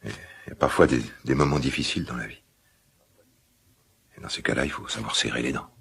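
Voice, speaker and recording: A guilt-ridden middle-aged father in a naturalistic radio drama. His voice is extremely deep, full of creak and very husky. He confides haltingly, with an awkward tenderness. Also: fairly even intonation, a bit bright, exaggerated voice. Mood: pained, tender. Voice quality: gravelly, husky smoker's voice.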